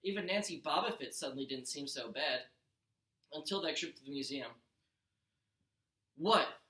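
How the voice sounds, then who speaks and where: A man's voice: a little high, expressive, a little fast, bright, forceful tone. A man, a teacher, narrating a first-person storybook aloud with expression. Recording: roomy echo.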